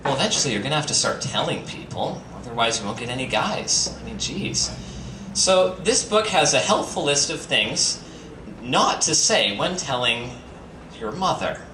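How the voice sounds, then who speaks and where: Echoey noisy live recording, male reader, swooping expressive pitch, campy playful emphasis, theatrical comedy reading, joking asides. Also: moderate pace. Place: bistro venue in front of an audience. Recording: roomy, distant, noisy live stream capture.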